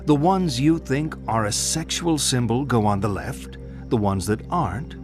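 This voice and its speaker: A man's voice: medium pitch, expressive, a little slow, natural voice. An actor playing a provocative, impatient male psychiatrist in a video game, theatrical and pointed, asking rhetorical questions. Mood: sly, needling. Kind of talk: dramatic video game acting. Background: music.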